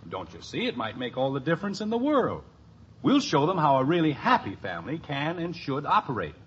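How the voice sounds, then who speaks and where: An actor playing a wisecracking, easily exasperated father in a 1950s radio family sitcom, with a wry, animated delivery. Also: on the high side, a little fast, slightly rough, full character voice. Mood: insistent. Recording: noisy, muffled old radio broadcast.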